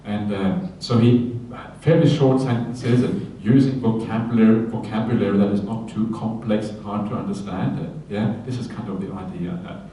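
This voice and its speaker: A man, a Buddhist monk giving a relaxed dharma talk on a sutta, often checking in with his listeners and adding light humorous asides. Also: lowish voice, normal speed. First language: Norwegian. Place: retreat hall. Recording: very echoey hall, voice from a distance, faint ambience.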